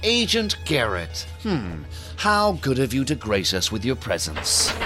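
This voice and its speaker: An actor playing an officious, easily irritated male federal agent who briefs colleagues in a formal, measured, pompous way for an audio drama. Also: medium pitch, big pitch swings, sharp tone, faint music behind. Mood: irritated condescension.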